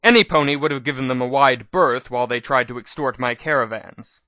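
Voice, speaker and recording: A man voicing a shrewd, cheerful female pony shop manager in a dramatic audiobook reading, bright and businesslike. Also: medium pitch, brisk, dry recording, dull audio, close to an everyday voice. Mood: cool, matter-of-fact.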